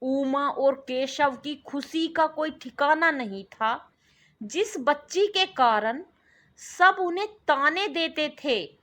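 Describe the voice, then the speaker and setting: A woman with a high voice narrates a scripted story as a storyteller. She takes her time, phrasing each part slowly and deliberately with a dramatic touch. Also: a bit bright. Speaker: storyteller and channel host.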